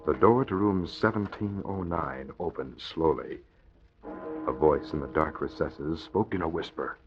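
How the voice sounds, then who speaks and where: A man narrating and announcing a 1940s radio serial with a crisp, dramatic delivery. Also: a bass voice, a little slow, over music. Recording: muffled 1940s radio recording.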